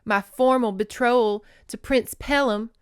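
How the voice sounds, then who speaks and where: A woman's voice: fairly high pitch, fairly even intonation, a little slow, clear, resonant tone, dry recording, clean studio sound, close to an everyday voice. A woman voicing an earnest, dutiful young princess, nervous but resolute, in formal speech from a romance novel. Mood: anxious, resolute. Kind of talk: dramatic romance novel reading.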